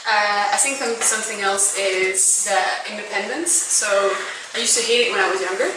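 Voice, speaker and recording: A woman's voice: mid-range voice, normal speed. Young female founder, informal interview, earnest explainer. Heavy room echo with noticeable background noise. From born in Belgium, grew up in rural France. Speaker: social enterprise founder and managing director being interviewed. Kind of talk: informal interview, telling her story. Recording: very echoey room, distant mic, background noise.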